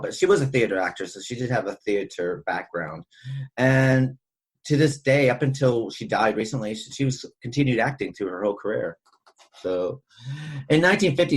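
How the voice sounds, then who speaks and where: Male podcast host, husky mid-pitched voice, casual and chatty film discussion, informative and loose. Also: poor audio.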